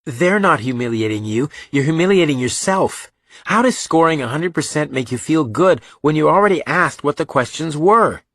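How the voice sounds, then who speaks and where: Cocky, defiant kid in an audiobook, played by a man, raised pitch, blunt delivery. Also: lively intonation, normal speed, a bit bright, no room sound, studio quality. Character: cocky, defiant young boy, blunt and argumentative. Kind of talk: audiobook character dialogue.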